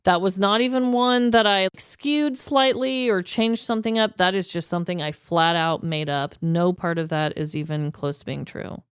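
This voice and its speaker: Woman podcast host chatting thru a story, animated n informal, really clear voice, no room echo. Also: fairly low pitch, moderate pace, hardly any pauses, clean studio sound. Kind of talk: chatty storytelling, reading quotes from notes.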